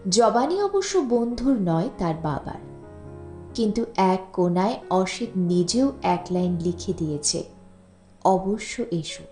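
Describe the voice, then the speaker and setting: A woman reading a literary short story as an audiobook narrator, in a measured storytelling manner. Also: mid-range voice, dark tone. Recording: echoey room, music bed underneath. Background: background music.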